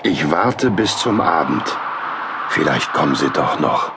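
A male actor playing an older church elder in a radio play, speaking gravely and deliberately. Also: very deep voice, big pitch swings, heavy background noise, exaggerated voice. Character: aging church elder, solemn and burdened.

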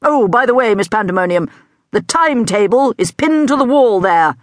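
A woman voicing a stern, gruff male headteacher who speaks in a clipped way. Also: a little high, animated, normal speed, no room sound, studio quality, voice pushed up, close to an everyday voice.

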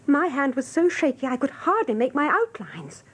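An actress playing a chatty, excitable English office typist, gushing and breathless in a radio drama. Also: female voice, high voice, normal speed. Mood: excited, shocked. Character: chatty, excitable female office typist who loves gossip.

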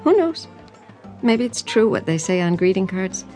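An actress playing a cool, disappointed fiancée with dry resolve and a clipped manner in an audio drama. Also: female voice, medium pitch, very animated, normal speed, warm tone, close to an everyday voice. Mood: resigned. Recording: faint music behind.

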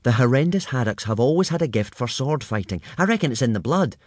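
A man's voice: medium pitch, expressive, fast, darkish tone, dry recording, voice pushed up, lightly acted. A man voicing an earnest, bookish young Viking boy. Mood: eager. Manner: earnest, eager.

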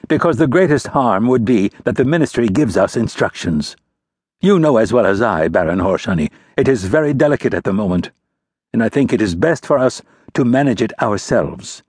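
A man's voice: mid-range voice, darkish tone, husky, dry recording, studio quality. A man voicing a scheming male ambassador prince of about forty, with a mocking, careless, lofty manner.

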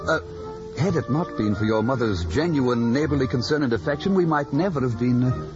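An actor playing an amiable, smitten young gentleman in a period radio drama, courtly and effusive. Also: mid-range voice, lively intonation, a little fast, natural voice. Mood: courtly, cheerful. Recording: dull radio recording with music behind.

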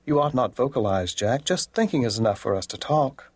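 A man playing an implanted artificial conscience in an audio drama, a calm, formal inner voice that admonishes and persuades. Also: medium pitch, brisk, slightly rough. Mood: calm, instructive.

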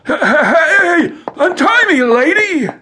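An actor playing a sleazy middle-aged husband in a radio drama, halting and self-absorbed. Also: male voice, very high-pitched, lively intonation, pushed and bright, a little hiss or hum, heavily acted. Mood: panicked.

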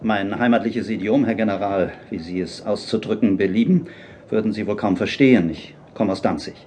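A fearless gentleman played by a man speaks formally and firmly. His voice is lowish and mellow, with faint scene noise in this radio drama. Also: brisk, close to an everyday voice. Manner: formal, firm, earnest. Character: tall, fearless, principled civilian confronting officers. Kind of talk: radio play acting.

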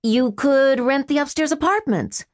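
A woman's voice: high-pitched, expressive, a little slow, no room sound, studio quality, pitched above the performer's own voice. A woman voicing a feckless grown man who gambles, talking in a nervous, pleading way. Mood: wheedling.

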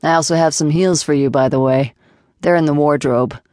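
A woman voicing Jason, a calm, commanding, patronizing man who speaks in a low, deadpan, measured way. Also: no echo, studio quality, natural voice.

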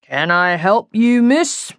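A woman's voice: mid-range voice, lively intonation, takes it slowly, a bit bright, no room sound, clean studio sound, pitched above the performer's own voice. A woman voicing an officious, stuck-up man in his thirties who talks as if he wants to be posh, in an audiobook. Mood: haughty, formal. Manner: pompous, talking as if trying to sound posh. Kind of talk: audiobook character dialogue.

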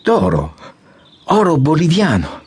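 An actor in an audiobook drama playing an ailing, proud old general, commanding and grandiloquent in manner. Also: male voice, fairly high pitch, huge pitch swings, slow pace, noisy background, exaggerated voice. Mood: impatient, commanding. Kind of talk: audiobook radio drama acting. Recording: music behind the voice.

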